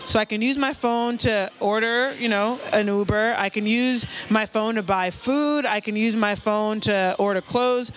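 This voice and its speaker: A woman's voice: medium pitch, a bit bright, slight background noise. A young woman from the United States, an app founder, giving fluent, upbeat interview answers at a networking party. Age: young adult. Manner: fluent, upbeat, explains with stories.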